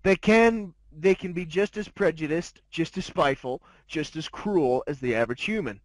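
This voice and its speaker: A man's voice: fairly high pitch, lively intonation, moderate pace, no echo, natural voice. A man voicing a snobby, sardonic diamond dog who narrates his life story in a haughty, jaded, wry manner. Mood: grim, sardonic. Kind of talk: dramatic fan fiction narration.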